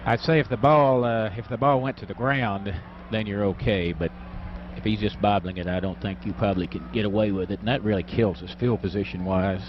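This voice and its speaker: A man's voice: lowish voice, steady tone, brisk, slight background noise. A man giving conversational football analysis as a radio color commentator. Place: stadium broadcast booth. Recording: dull radio broadcast sound.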